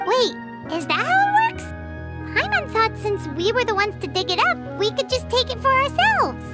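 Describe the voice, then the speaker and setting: A woman voicing a tiny, excitable childlike fairy companion in an anime game, with exclamatory delivery. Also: very high voice, normal speed. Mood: puzzled. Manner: refers to self in third person, exclamatory. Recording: music behind the voice.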